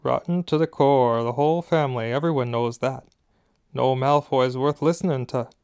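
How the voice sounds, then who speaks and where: Man doing a gruff, protective gentle giant. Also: mid-range voice, steady tone, normal speed, lightly acted. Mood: gruff disapproval. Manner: blunt, emphatic. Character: huge, gruff, protective man scolding and loyal.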